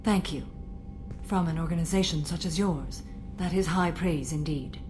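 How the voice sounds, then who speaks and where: A woman voicing a solemn, serene alien warrior bound by honor, speaking formally and gravely in a video game. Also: low-pitched, normal speed, over music, close to an everyday voice. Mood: calm, courteous.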